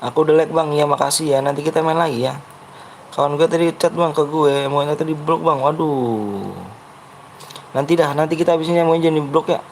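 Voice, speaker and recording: A man's voice: fairly high pitch, fairly even intonation, brisk, a little hiss or hum. A young man with a Betawi accent, live-streaming a game and chatting with his viewers in a blunt, brash way that he says sounds harsh, while he keeps urging them to like and subscribe. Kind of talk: live gaming stream chat.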